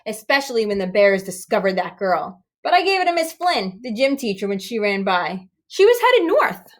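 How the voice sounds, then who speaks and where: A woman voicing an eager young boy scout from a storybook, excitable and emphatic. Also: medium pitch, normal speed, lightly acted.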